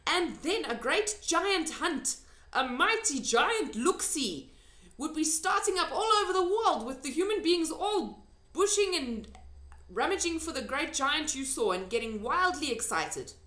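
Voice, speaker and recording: A woman's voice: high-pitched, big pitch swings, measured pace, pushed and bright, pitched above the performer's own voice, heavily acted. A woman voicing a big, friendly, earnest male giant from a children's storybook, emphatic and drawn out, with muddled grammar. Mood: excited, alarmed. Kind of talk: children's storybook character reading. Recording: echoey room.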